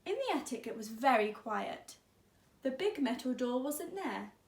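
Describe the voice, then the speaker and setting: A woman teacher reading a children's story aloud, expressively and deliberately, drawing out words. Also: sits high, sing-song, creaky, echoey room.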